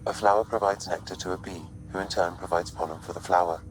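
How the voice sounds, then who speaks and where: A very deep male artificial intelligence from a sci-fi video game, acted by a man. It explains things calmly and precisely in formal, measured phrases. A clear bed of ship noise runs behind it. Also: close to an everyday voice. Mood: calm, analytical. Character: calm, analytical male artificial intelligence aiding an explorer. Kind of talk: scripted video game dialogue.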